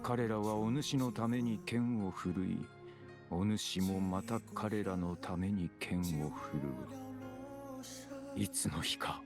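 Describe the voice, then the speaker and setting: Solemn stoic swordsman acted by a man, lowish voice, grave archaic formality, game dialogue over clear music. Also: normal speed, natural voice. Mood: solemn, reassuring. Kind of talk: Japanese video game voice acting.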